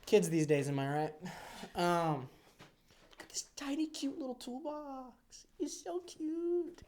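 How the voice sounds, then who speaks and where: A man's voice: very high-pitched, lively intonation, slow pace, a little mellow, slight background noise. A man in his early twenties giving a casual, off-the-cuff tour of a workshop, rambling in a jokey, self-deprecating way with playful exaggeration. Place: indoor workshop.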